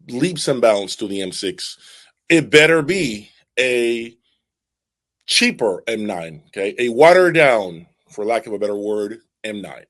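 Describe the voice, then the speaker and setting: A man's voice: medium pitch, fairly bright tone. A man hosting an audiophile channel, giving casual, unscripted product commentary in an emphatic way, repeating his points and checking in as he goes. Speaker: host of an audiophile video channel.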